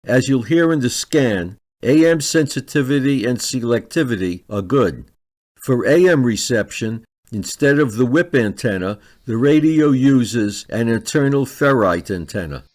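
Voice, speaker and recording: A man's voice: mid-range voice, lively intonation, a little slow. A man reading a scripted instructional product review in a methodical, step-by-step manner.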